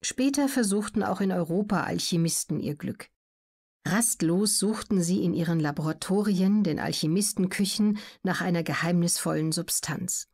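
A woman reading scripted documentary narration for an audiobook in a measured, clearly enunciated way. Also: fairly low pitch, darkish tone.

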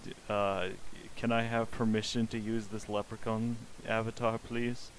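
A man's voice: fairly low pitch, level tone, measured pace, a little hiss or hum. A young man streaming a social VR game, chatting casually and politely pestering the strangers he meets. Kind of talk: casual gaming commentary.

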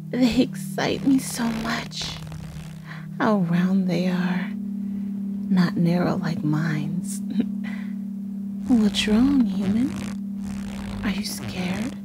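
Loud music plays under a sinister female naga acted by a woman in an ASMR roleplay. She speaks extremely slowly at a medium pitch, breathy and often whispering. Her manner is teasing, possessive and menacing. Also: animated. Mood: teasing menace. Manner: slow, teasing, giggling menace.

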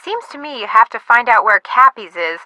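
High, perky American girl sidekick. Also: animated, brisk, pushed and bright, no echo, poor audio. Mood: helpful, wry. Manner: playful, wisecracking. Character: perky, flippant teenage girl sidekick, Nancy's chatty friend. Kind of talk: video game voice acting.

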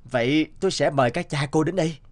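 A man's voice: on the high side, fast talker, in a large hall, natural voice. Man as a proud, commanding young general. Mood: courting. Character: proud young male enemy army general, suave suitor. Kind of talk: Vietnamese animated moral tale voice acting.

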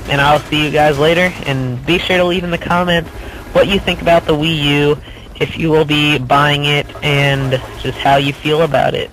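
Young male gaming host, stuffy nose, rambling and chatty, slightly high voice, faint gunfire behind. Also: fairly bright tone, slight background noise, low-quality recording. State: congested from a cold. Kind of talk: casual commentary over gameplay.

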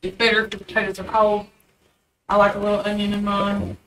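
Distant bright-voiced woman in a roomy space. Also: mid-range voice, monotone, brisk, a little hiss or hum. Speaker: cook. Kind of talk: explaining a recipe. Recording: roomy, off-mic, faint ambience.

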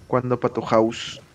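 A man making casual, slangy, joking remarks while playing a game with others over voice chat. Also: mid-range voice. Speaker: gamer in a group play session. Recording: faintly noisy voice chat recording.

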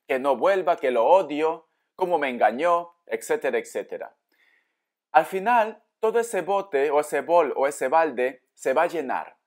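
A man giving an informal tarot reading straight to viewers, explaining as he goes and answering his own questions. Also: a little high. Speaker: tarot reader, host. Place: indoor room with books at a table.

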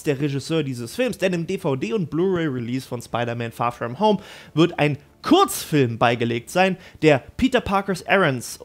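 A man hosting a video, delivering energetic scripted commentary and addressing viewers directly and enthusiastically. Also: on the high side. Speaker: YouTube host.

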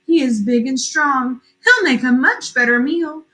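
A woman acts out a skinny middle goat brother from a storybook, begging to be let go. He pleads in a high, bright voice. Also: expressive, measured pace, heavily acted. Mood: pleading.

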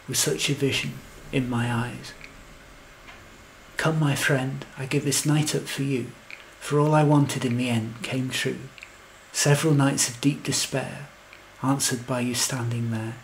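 A man plays a lovelorn, slightly anxious hero narrating a comic audio story. He is wordy, earnest and wry, with a mid-pitched creaky voice. Faint noise sits behind the recording. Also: poor audio. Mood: earnest, poetic. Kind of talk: scripted comic first-person narration and verse.

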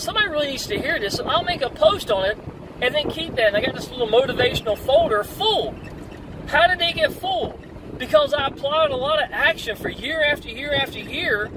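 A middle-aged man delivers an energetic motivational pep talk, his pitch swinging widely as he preaches. He records on a phone held out in an open-top car. The voice sits back from the mic, with steady wind and traffic noise underneath. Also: far from the mic. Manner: energetic, preachy, repeats "y'all". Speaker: online marketing coach. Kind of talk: motivational vlog while driving. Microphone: phone camera at arm's length.

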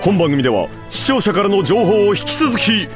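Dramatic, high-pitched TV announcer. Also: male voice, big pitch swings, moderate pace. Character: dramatic male TV documentary announcer, grandiose. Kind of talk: TV show narration parody in a game. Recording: music behind the voice.

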